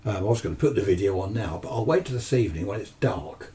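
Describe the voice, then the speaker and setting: A man's voice: on the low side, expressive, quick pace, warm tone. A man in his seventies with a British accent chatting casually and enthusiastically about his hobby, rambling and answering his own questions, recorded on a distant microphone. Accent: British English. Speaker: amateur radio hobbyist presenter. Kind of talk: casual rambling chat to camera.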